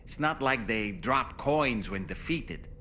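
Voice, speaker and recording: An actor playing a chatty, polite, bookish companion in a video game, musing in formal, fussy, articulate phrases. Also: male voice, medium pitch, expressive, takes it slowly. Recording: faint noise behind the voice.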